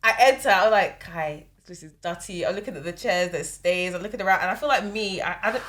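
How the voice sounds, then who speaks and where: A young woman co-hosting a podcast talks quickly and casually, telling a holiday story. She acts out what people said and keeps the chat lively. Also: lowish voice. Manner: chatty, animated storyteller who acts out quotes. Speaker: podcast co-host.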